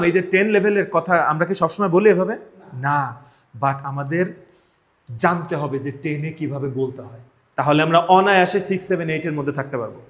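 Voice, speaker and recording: A man with a Bangladeshi accent teaching a live class, emphatic, repeating phrases and checking that students follow. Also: high voice. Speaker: spoken English teacher. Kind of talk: live classroom instruction with drills. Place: classroom, indoors. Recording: echoey room.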